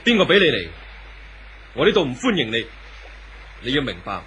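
An actor playing an earnest, brooding young lover in a 1970s radio drama, solemn and poetic, with a Hong Kong Cantonese accent. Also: male voice, medium pitch, huge pitch swings, moderate pace, sharp tone, slight background noise. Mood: angry, alarmed. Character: earnest young romantic man, idealistic and brooding.

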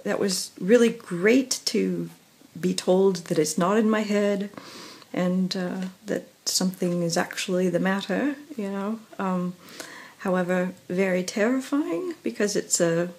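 Woman, young adult, husky breathy weakened voice, slow halting talk, many fillers, phone at arm's length. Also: mid-range voice, low-quality recording. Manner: halting, many fillers and pauses, soft laughs. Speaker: professional singer vlogging. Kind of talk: casual personal vlog. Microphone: phone camera at arm's length.

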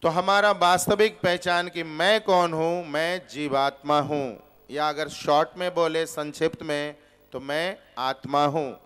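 A man in his forties preaches to a seated congregation in a temple hall, speaking into a stand microphone. His voice is smooth, resonant and set a little high, and he takes his time. He poses question after question and answers them patiently. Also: fairly even intonation, fairly bright tone. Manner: slow, deliberate teaching with rhetorical questions. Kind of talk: Gita discourse.

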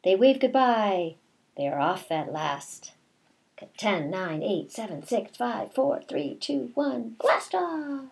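A middle-aged woman, a kindergarten teacher, reading a picture book aloud in a deliberate, expressive way with asides to young children. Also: mid-range voice, vocal fry. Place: small bedroom at home, indoors.

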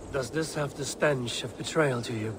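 A man plays an impatient medieval warrior in a video game. He is blunt and brooding, with a medium-pitched voice and faint ambient noise behind it. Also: moderate pace, lightly acted. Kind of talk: dramatic video game acting.

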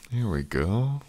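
A man's voice: lowish voice, dark tone. A young man performing an intimate ASMR roleplay as an affectionate, teasing boyfriend, murmuring tenderly and breathily close to the mic. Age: young adult. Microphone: close, dry microphone.

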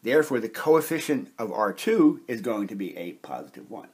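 Slightly husky man teaching step by step. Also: medium pitch, very animated, darkish tone. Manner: measured, methodical, explanatory. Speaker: chemistry instructor. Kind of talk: step-by-step whiteboard lecture.

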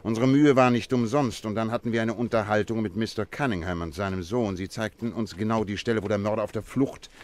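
An actor playing a confident, courteous detective with a keen analytical mind, precise and polite in a German radio play. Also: male voice, lowish voice, fast, few pauses, natural voice. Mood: cheerful, brisk.

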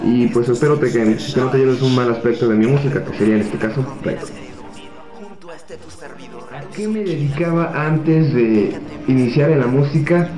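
A sixteen-year-old Mexican boy from Puebla, talking softly and hesitantly into a webcam in a small office, with many fillers. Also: mid-range voice, normal speed. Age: teens. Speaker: young reggaeton artist. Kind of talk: self-interview to webcam. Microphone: webcam at desk. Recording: echoey room with music behind.

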